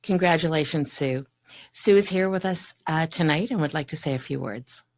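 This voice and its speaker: A woman's voice: deep voice, normal speed, darkish tone, no echo. A woman hosting an awards event, announcing winners plainly and stumbling slightly now and then. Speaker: emcee. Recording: dry close sound.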